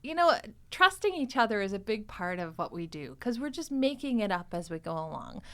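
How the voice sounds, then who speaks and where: A middle-aged woman hosting a casual panel chat, speaking in an enthusiastic, expressive way. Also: mid-range voice, brisk. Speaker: podcast host and cast member. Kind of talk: casual, chatty panel discussion.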